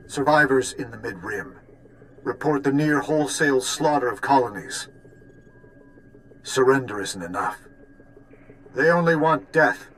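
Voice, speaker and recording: An actor playing a grave leader delivering a solemn, oratorical broadcast speech in a video game. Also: male voice, mid-range voice, a bit bright, lightly acted, low-quality recording. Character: grave male leader declaring war.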